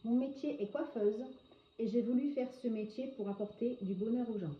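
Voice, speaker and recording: A woman in her forties from northern France, a hairdresser answering interview questions in a hesitant way, often pausing on filler sounds. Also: fairly high pitch. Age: 40s. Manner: hesitant, frequent filler pauses. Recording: echoey, dull lo-fi sound with faint noise.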